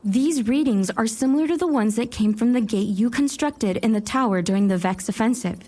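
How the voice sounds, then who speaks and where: A woman's voice: a little high, a little fast, warm tone, lightly acted. A woman playing an eager, self-doubting warlock scholar in an audio drama, chatty and wry as she narrates her own thoughts. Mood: eager, earnest. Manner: wry, chatty inner monologue with muttered self-talk. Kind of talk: first-person audio drama narration and dialogue.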